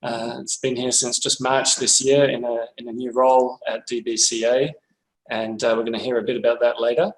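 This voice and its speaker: A man hosting a seminar, introducing the speaker in a halting way with many fillers. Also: mid-range voice, little pitch movement. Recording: echoey room.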